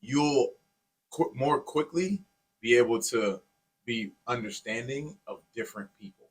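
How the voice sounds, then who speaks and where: A man's voice: medium pitch, measured pace, breathy edge, poor audio. A man talking casually and earnestly as a podcast co-host, with informal, buddy-like phrasing. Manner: informal, peppered with "man" and "dude".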